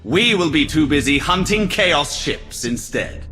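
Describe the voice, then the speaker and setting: An actor playing a proud, courteous alien leader in a video game, speaking in a formal, solemn way. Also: male voice, on the high side, expressive, measured pace, sharp tone. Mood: solemn, gracious. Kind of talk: video game cutscene acting. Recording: long reverb, music underneath.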